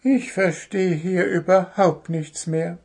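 A man's voice: sits high, fairly even intonation, slow pace, a little mellow, pitched above the performer's own voice, full character voice. A man voicing a small, dreamy bird with a sweet, wondering voice. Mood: bewildered.

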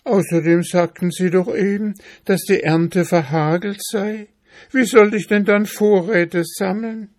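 A man's voice: high voice, fairly even intonation, unhurried, pitched above the performer's own voice. A man voicing a poor, hungry female mouse in a storybook, plaintive and politely begging. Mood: pleading, questioning.

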